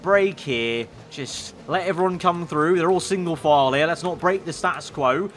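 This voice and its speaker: A young man commentating a racing game, excitable and slightly hoarse, breaking into shouts at crashes. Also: a little high, normal speed, slight background noise. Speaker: gaming YouTuber.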